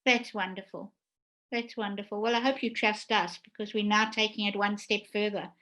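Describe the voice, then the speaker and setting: A woman speaks as the host of an online panel. Her voice sits at a middle pitch, and she talks in an explanatory, deliberate way, as someone used to moderating a discussion. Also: steady tone, bright, forceful tone. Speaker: host and theatre director. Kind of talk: hosting an online panel talk.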